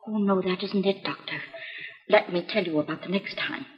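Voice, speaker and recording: A woman's voice: lowish voice, normal speed, close to an everyday voice. An actress playing an anxious wife recounting her husband's troubles to a doctor, in a 1950s educational film. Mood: worried. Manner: anxious, chatty storytelling. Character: fretful middle-aged wife confiding in a doctor. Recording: dull reverberant old film soundtrack with music.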